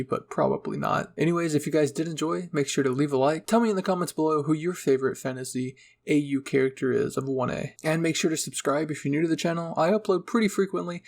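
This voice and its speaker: A man's voice: medium pitch, creaky. A man narrating a second-person roleplay story as a channel host.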